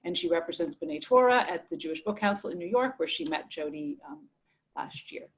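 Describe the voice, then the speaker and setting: A woman's voice: lowish voice, animated, a little fast. A woman moderating a virtual book event, friendly and chatty. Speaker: event host.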